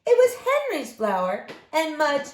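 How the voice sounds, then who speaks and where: A woman reads a children's story aloud, addressing young listeners expressively. Her voice is fairly high and very lively in pitch, at an unhurried pace. The room sounds echoey. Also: slight background noise. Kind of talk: picture book read-aloud.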